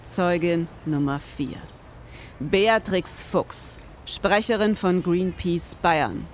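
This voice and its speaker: A woman playing a pompous, gruff, world-weary noir detective, narrating a crime radio play in a dramatic, deliberate manner. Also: on the low side, a little hiss or hum. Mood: brooding.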